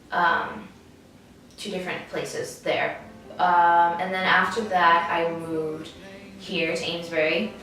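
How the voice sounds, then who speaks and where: A teenage woman from Ecuador answering interview questions, halting with fillers. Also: lowish voice, pushed and bright. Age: teens. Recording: echoey room from far off, faint music.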